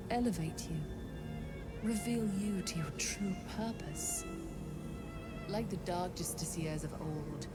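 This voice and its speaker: Regal dark goddess in a game, lowish voice, slow n commanding, loud music under it. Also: female voice. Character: imperious dark goddess, coldly maternal and commanding. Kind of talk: video game voice acting.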